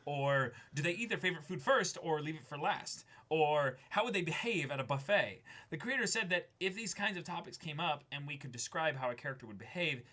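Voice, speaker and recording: A man's voice: a little high, expressive, fairly quick, hardly any pauses, bright, forceful tone. A young man casually discussing and reading aloud as a co-host, speaking emphatically and swearing freely. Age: young adult. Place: small indoor studio with backdrop.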